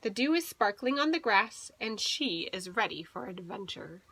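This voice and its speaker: A woman's voice: medium pitch, sing-song, normal speed, bright, forceful tone, breathy edge, no room sound, low-quality recording. A woman teacher reading a picture book aloud to young children, expressively and with little explanatory asides.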